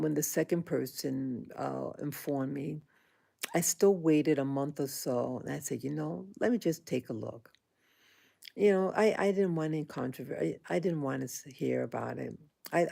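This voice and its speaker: A woman in her sixties talking into her own vlog, halting and indignant, with frequent restarts and fillers. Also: deep voice, gravelly, mellow, slightly rough. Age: 60s. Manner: aggrieved, indignant. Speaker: vlogger. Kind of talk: personal monologue vlog.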